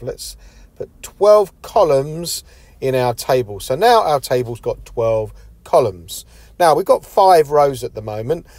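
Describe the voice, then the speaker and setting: A man's voice: medium pitch, a little mellow, dry recording. A middle-aged man talking through a tablet app tutorial step by step, explaining each tap like a teacher. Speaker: education technology trainer. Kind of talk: screen-recorded tutorial walkthrough.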